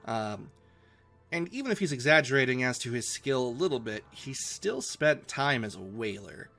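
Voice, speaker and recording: Casual male book-club host, medium pitch, thinking aloud in slangy stops and starts, faint music underneath. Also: big pitch swings, creaky, bright, forceful tone. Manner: informal, slangy, halting while thinking aloud. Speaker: host of live classic novel readings. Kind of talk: casual book discussion between chapters.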